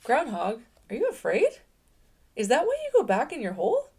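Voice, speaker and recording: A woman voicing a big, loud, eager moose in a children's storybook, with an emphatic way of talking. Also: high voice, huge pitch swings, moderate pace, slight background noise, pitched above the performer's own voice, heavily acted. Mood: coaxing. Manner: bellowing, emphatic.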